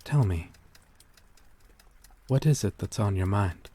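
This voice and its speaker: A man playing a courteous, melancholy vampire-like creature in an ASMR roleplay, speaking gently and intimately in formal, old-fashioned phrases. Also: on the low side, expressive, slow, husky, exaggerated voice. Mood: tender, reassuring. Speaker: roleplay voice performer.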